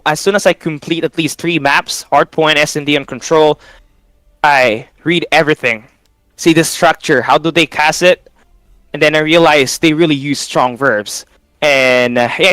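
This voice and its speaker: Smooth-voiced man, dry sound. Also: fairly high pitch, fairly bright tone, no echo, rough recording. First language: Tagalog. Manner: earnest, with frequent fillers like "you know". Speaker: esports caster, guest. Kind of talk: casual interview answers.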